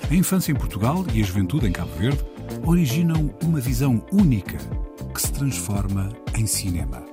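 Guy narrating a show intro, lively up and down voice, music under it. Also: mid-range voice, a little fast. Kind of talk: scripted narration.

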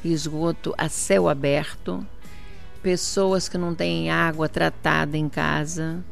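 A woman's voice: low voice, level tone, slow pace, vocal fry, faint music behind. An older woman from Portugal, answering an interview as a city councillor, insistent and repeating her points for emphasis.

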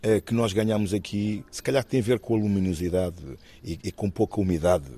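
A man in his fifties from Guinea-Bissau, talking about his life in an interview, thoughtful and halting, with many restarts. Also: on the low side, steady tone, dark tone. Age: 50s. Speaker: interviewee, actor and musician. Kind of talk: reflective personal interview.

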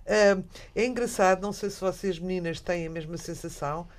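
A Portuguese woman in her sixties with a European Portuguese accent, chatting about books in an animated, halting way. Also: fairly low pitch. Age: 60s. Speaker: radio book show co-host. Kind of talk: casual literary chat.

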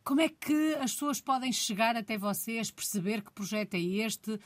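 A woman's voice: fairly high pitch, lively intonation, dry recording. Dry, close woman interviewer. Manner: measured, framing questions at length. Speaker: radio interviewer. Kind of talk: interview hosting.

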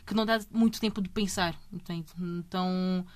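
A woman's voice: lowish voice, fairly even intonation, normal speed. A woman in her thirties from Brazil whose Portuguese accent mixes Brazilian and European Portuguese. She talks in a chatty interview style, restarts her sentences often and tags them with little checks on the listener. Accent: Brazilian Portuguese mixed with European Portuguese. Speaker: MMA fighter, guest. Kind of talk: casual interview conversation.

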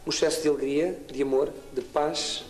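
A man's voice: a little high, steady tone, moderate pace. A man, speaking as a boy band member in an interview. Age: 20s. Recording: echoey archive clip, music behind.

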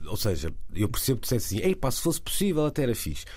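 A man with a Porto accent hosting a casual panel discussion, talking in quick bursts with restarts and hedges. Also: medium pitch, huge pitch swings, a little mellow, slightly rough, no room sound.

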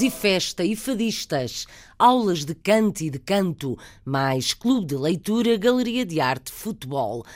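Lively male radio magazine host. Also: high-pitched. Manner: lively scripted links between reports.

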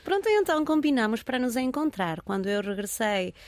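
A woman from Venezuela, a singer and former professor, telling stories in a reflective, unhurried way during an interview. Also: a little high, expressive, dry recording, clean studio sound. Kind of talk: conversational interview.

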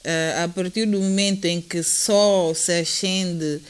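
A woman giving her view as a guest in a panel discussion, reflective and pausing to find her words. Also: on the low side, fairly even intonation, smooth.

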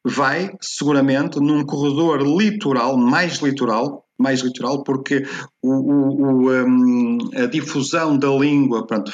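A man's voice: mid-range voice, highs cut off. European Portuguese man explaining, repeating for emphasis. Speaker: interview guest, expert on language. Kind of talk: conversational explanation in an interview.

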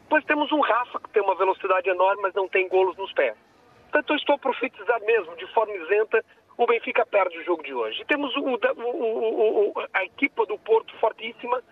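A man's voice: high voice, expressive, fairly quick. A man with a Brazilian-tinged Portuguese accent phoning in opinionated football commentary, emphatic and given to repeating himself, over a tinny phone line. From Portugal, lived many years in Brazil. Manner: emphatic, repetitive. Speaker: phone-in caller, football fan.

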